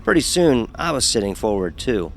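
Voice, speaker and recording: A man's voice: mid-range voice, sing-song, moderate pace, vocal fry, soft background music. A man playing a sarcastic young fraternity pledge who narrates his own story in an audio horror drama, wry and sardonic. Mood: wry storytelling. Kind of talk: scripted first-person horror narration with dialogue.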